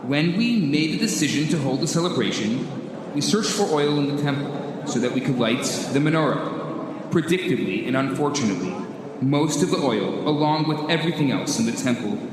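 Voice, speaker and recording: Dignified victorious commander for radio drama, man acting, deliberate, reverberant hall with clear background noise. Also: medium pitch, animated, close to an everyday voice. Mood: explaining calmly. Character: dignified victorious rebel leader at press conference. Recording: echoey hall, noisy background.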